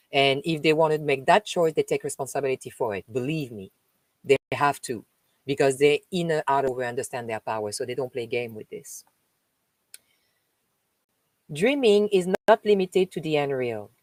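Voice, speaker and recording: A woman from France with a French accent, a spiritual host reading a text aloud and stopping for chatty asides, with slow, emphatic delivery. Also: sits low, dry recording, rough recording. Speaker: spiritual live-stream host. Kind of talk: reading aloud with spoken commentary.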